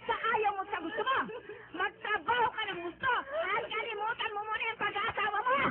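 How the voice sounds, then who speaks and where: A woman's voice: very high voice, fairly even intonation, fairly quick. A woman acting in a radio drama as a domineering, grasping older mother who scolds with dramatic indignation. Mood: scolding, bossy. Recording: tinny, slightly noisy radio drama recording.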